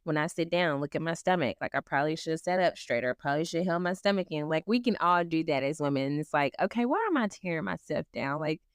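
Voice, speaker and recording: Supportive woman co-host, low-ish smooth voice, reflective delivery. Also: fast talker, few pauses, no echo, clean studio sound. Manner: encouraging, reflective. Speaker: podcast co-host. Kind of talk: casual chat.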